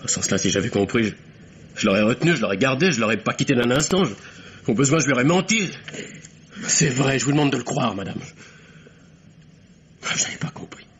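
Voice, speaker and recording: An actor playing a carefree young bachelor shaken by guilt, speaking haltingly and earnestly in a 1950s-style French radio drama. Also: male voice, mid-range voice, lively intonation, lightly acted. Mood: regretful, pleading. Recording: dull lo-fi old radio, noisy.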